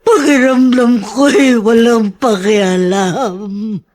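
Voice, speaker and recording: A woman playing a sorrowful, caring grandparent in a radio drama, speaking in a gentle, grieving way. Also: fairly high pitch, fairly even intonation, very slow. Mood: grateful, grieving. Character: sorrowful, caring grandparent of a gravely ill child.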